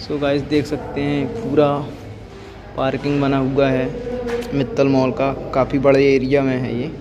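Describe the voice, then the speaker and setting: A young man giving a casual walking tour of a mall at night, filmed on a handheld phone, with loud music playing behind him. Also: mid-range voice, measured pace. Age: young adult. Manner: repetitive, even-toned tour commentary. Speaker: vlogger. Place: large indoor mall and parking at night. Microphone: handheld phone camera.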